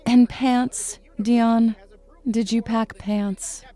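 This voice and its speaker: A woman's voice: fairly high pitch, little pitch movement, very slow, mellow, soft background music. A woman playing a stern, weary science professor, sarcastic and pedantic, in a comedy audio drama. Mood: exasperated.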